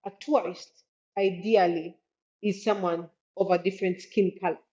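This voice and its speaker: A woman with a Ugandan accent speaks in a mellow voice at a medium pitch, on a slightly muffled recording. She explains things earnestly and conversationally, like a guest expert answering at length, with frequent "you know" asides. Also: steady tone, moderate pace. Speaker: podcast guest, Africa travel expert. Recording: slightly dull, lo-fi audio.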